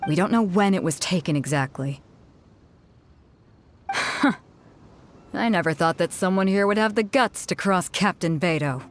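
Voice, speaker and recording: A woman's voice: fairly low pitch, lively intonation, a little slow, pauses often. An actress playing a bold female ship captain in a video game, announcing a tournament in a commanding, showy manner. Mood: brisk.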